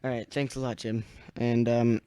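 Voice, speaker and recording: Young male radio net host, mellow but smooth, halting casual delivery, dry close sound. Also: medium pitch, little pitch movement, no echo. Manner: halting, searching for words. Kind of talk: casual radio check-in chat. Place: small indoor radio room.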